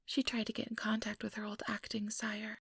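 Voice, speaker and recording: Girl acting as ambitious vampire lady, super breathy whisper w a bit of creak, condescending n persuasive, asmr roleplay right up on the mic. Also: mid-range voice, completely flat delivery, a little fast, a bit bright, no echo, studio quality, full character voice. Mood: smooth persuasion. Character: ambitious, smugly manipulative female vampire recruiter. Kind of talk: ASMR role-play, whispered and close-up. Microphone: close ASMR microphone.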